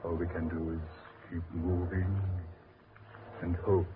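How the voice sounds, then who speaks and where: An actor playing a practical, level-headed man with a measured, sober delivery in 1940s radio horror style. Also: sits low, little pitch movement. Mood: resolute. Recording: tinny, noisy 1940s radio recording.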